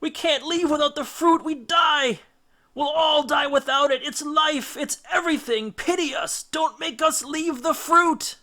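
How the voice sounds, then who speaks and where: A man's voice: extremely high pitch, a little slow, pushed and bright, pitched above the performer's own voice, full character voice. A man voicing a band of lotus-addled Greek sailors who shriek and plead desperately in a storybook reading.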